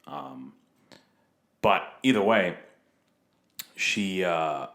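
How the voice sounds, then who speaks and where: A man's voice: low voice, lively intonation, very slow, fairly bright tone. A young man hosting a podcast, talking bluntly and opinionatedly with plenty of swearing. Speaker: podcast host. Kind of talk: casual chat. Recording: echoey room.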